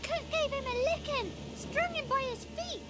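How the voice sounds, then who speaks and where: Very high-pitched cheeky peasant child. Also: female voice, moderate pace, over loud music. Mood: gleeful chanting. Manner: rustic, chanting, blunt. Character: cheeky, blunt little peasant child of the swamp. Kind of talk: video game acting.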